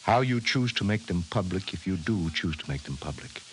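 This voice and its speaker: An actor playing a grave, precise professor in 1950s radio drama style, formal and measured. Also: male voice, low-pitched, expressive, rough recording. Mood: grave, certain. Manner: measured, formal, emphatic delivery. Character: grave, precise male scientist, a government professor. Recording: hissy 1950s radio recording.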